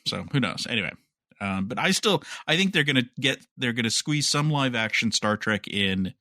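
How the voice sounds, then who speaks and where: A man's voice: medium pitch, expressive, moderate pace, bright, forceful tone, no room sound, studio quality. A man co-hosting a podcast, casually discussing news and speculating in a conversational way. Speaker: podcast host.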